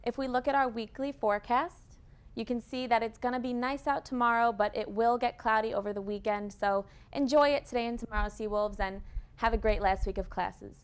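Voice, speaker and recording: A woman's voice: on the high side, steady tone, fairly quick, clean tone. Young woman reading a scripted newscast. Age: young adult. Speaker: student news anchor and reporter.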